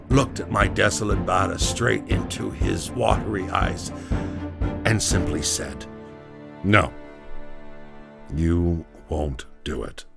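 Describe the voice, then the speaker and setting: A haunted labourer confessing a grim tale in an audio drama. His delivery is slow and halting, with a low, gravelly voice and theatrical intensity. Music plays behind him. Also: exaggerated voice. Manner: intense, halting, theatrical storytelling with wide emotional swings. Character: haunted male construction worker recounting a grim deadly gamble. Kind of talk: dramatic first-person audio drama monologue.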